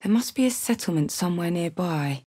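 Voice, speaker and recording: A woman's voice: fairly low pitch, expressive, fairly quick, warm tone, slightly rough. A woman voicing a bold, blunt, battle-hardened tiefling warrior for a fantasy video game, with dramatic delivery. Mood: wary, matter-of-fact.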